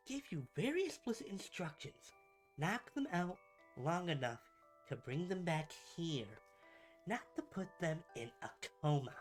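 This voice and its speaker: A man playing a pompous, melodramatic supervillain in a scripted ASMR roleplay, a bumbling rival who slips between grand speeches and chatty asides. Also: on the high side. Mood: exasperated, scolding. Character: theatrical, bumbling supervillain, gloating yet secretly caring rival.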